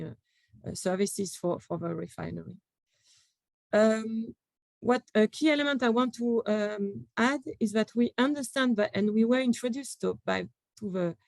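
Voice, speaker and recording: A woman's voice: medium pitch, vocal fry, a little mellow, dry recording, rough recording. A woman from France with a French accent, speaking on a conference panel with many fillers and restarts.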